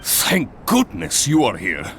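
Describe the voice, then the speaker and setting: A man's voice: a little high, huge pitch swings, slow, gravelly, a bit bright, a little hiss or hum, heavily acted. A man playing an earnest, fretful adventurers' guild organiser in an anime game, polite and emphatic as he explains. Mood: worried.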